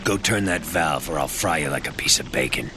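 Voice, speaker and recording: Cynical, ruthless American antihero in a video game; deep gravelly voice, deadpan, over heavy score. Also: male voice, a little fast, over music. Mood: menacing. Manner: brooding, terse, deadpan. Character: cynical, ruthless male antihero with electric powers. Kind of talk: video game character narration and dialogue.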